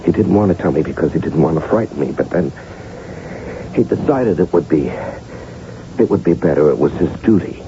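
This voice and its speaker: An actor playing an anxious, melodramatic American married man in a 1980s radio drama, halting and stammering yet insistent. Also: very deep voice, animated. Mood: grave, insistent. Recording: dull, noisy old radio broadcast.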